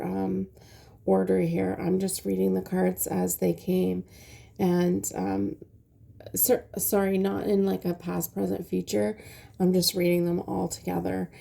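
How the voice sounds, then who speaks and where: Stuffy-nosed woman doing a slow tarot reading, very gravelly fry, dark low voice, lots of ums. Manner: soft, hesitant, many ums. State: stuffy nose. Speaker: tarot reader.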